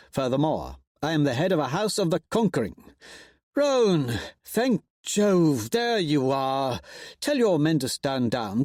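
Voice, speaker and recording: A man's voice: a little high, darkish tone, no room sound, studio quality, voice pushed up. A man voicing a flamboyant nobleman with flowery, pompous speech. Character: theatrical nobleman, flamboyant and fretful.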